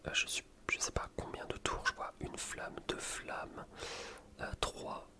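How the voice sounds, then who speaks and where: Whispering man, casual ASMR gamer. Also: a little slow. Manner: casual, slangy, rambling explanations. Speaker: gaming ASMR video creator. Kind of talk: whispered ASMR gameplay commentary.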